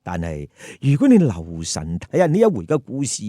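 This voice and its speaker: A man's voice: mid-range voice, huge pitch swings, normal speed, dark tone, no echo, studio quality. A man narrating a humorous story with commentary in a theatrical, colloquial, rhetorical way. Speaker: storyteller and host.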